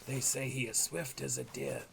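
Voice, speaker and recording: A man playing a formal, polite elf warrior in a fantasy audio drama, with a creaky voice. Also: medium pitch, flat delivery, moderate pace, noisy background. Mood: admiring. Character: courteous, formal male elf warrior, slender and earnest. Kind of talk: tabletop-inspired audio drama acting.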